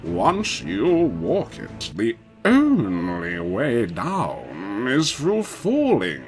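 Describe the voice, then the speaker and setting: Man putting on older royal father, solemn cautioning, very slow, gravelly, storybook melodrama. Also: on the low side, wildly swooping pitch, music in the background, heavily acted. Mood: grave warning. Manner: solemn, drawn-out warnings.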